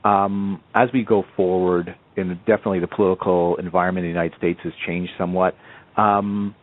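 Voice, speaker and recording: A man's voice: low voice, flat delivery, a little fast. A man asking questions as an analyst on a conference call, speaking hesitantly and circling back to rephrase, over a muffled phone line.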